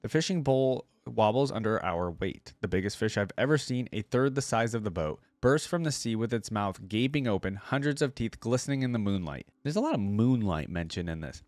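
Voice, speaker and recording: A man's voice: fairly low pitch, lively intonation, a little fast, few pauses, a little mellow, no room sound. A young man reading a story aloud and commenting casually as a reaction video host. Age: young adult.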